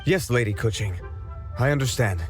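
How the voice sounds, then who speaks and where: A man's voice: medium pitch, expressive, moderate pace, faint music behind, lightly acted. An actor playing a deferential, worried male clerk in a video game, hesitant and respectful. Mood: obedient. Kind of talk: video game cutscene dialogue.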